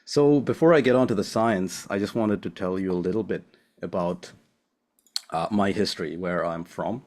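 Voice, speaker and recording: A young man from Sri Lanka, a postdoc, giving a friendly, casual seminar talk over a remote call, with many filler pauses. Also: low-pitched, darkish tone. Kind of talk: academic seminar presentation.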